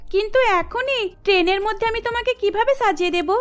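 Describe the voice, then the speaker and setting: Woman to play a polite young makeup artist in a cartoon, calm, measured, high voice. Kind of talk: cartoon voice acting.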